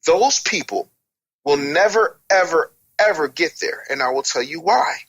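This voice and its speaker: A man's voice: on the high side, animated, a little slow, fairly bright tone, husky. A man speaking candidly and emphatically as an interview guest, blunt and opinionated, with slangy phrasing. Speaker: radio personality interviewed as a guest.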